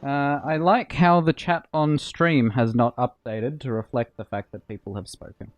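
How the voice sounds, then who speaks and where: A man's voice: medium pitch, fairly even intonation, normal speed, no echo, muffled recording. A man who streams games, chatting casually and greeting viewers and friends over background music. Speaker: game streamer hosting a multiplayer session. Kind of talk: casual livestream chat.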